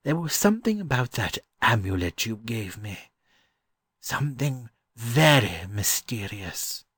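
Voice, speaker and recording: A man voicing a gentle, wistful old scholar in an audiobook, musing fondly and haltingly. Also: mid-range voice, sing-song, husky, dry recording, studio quality, pitched above the performer's own voice, full character voice. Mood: confiding, wondering. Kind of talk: audiobook character voice.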